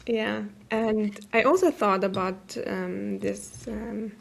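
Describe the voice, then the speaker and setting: A woman's voice: mid-range voice, fairly even intonation, takes it slowly, gravelly, slight background noise. A woman from Serbia who works as a teacher, speaking hesitantly with many fillers as a guest in a casual conversation.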